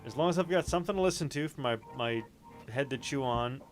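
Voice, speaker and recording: A man's voice: mid-range voice, fast, faint music behind. A man hosting a show in casual, conversational talk. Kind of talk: casual chat.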